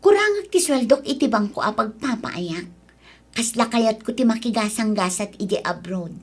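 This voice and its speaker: A woman's voice: a little high, expressive, normal speed, husky. An actress with an Ilocano accent playing a confident, ambitious young girlfriend who sweet-talks breezily in a radio drama. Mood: ambitious, dismissive.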